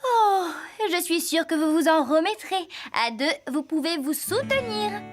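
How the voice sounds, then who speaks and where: Sensible, very high-voiced teen girl. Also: expressive, measured pace, faint music behind. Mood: reasonable. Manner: reassuring, level-headed. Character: sensible, kindly teenage girl rider. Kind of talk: French cartoon dubbing.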